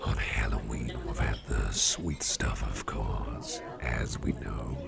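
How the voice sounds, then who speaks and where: Guy doing a jovial old devil boss for asmr, super low and crackly, slow rambly chat, party crowd murmuring behind. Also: steady tone, heavily acted. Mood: musing, genial. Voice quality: gravelly, fry-laden deep voice. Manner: unhurried, rambling, genial, with repeated phrases. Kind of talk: ASMR roleplay, soft chat to listener. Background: party crowd chatter.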